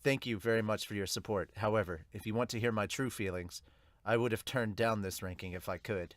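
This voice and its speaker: A man's voice: lowish voice, a little fast, no echo, studio quality, pitched below the performer's own voice, natural voice. A man voicing a humble, solemn superhero giving an earnest, subdued speech in an amateur manga dub.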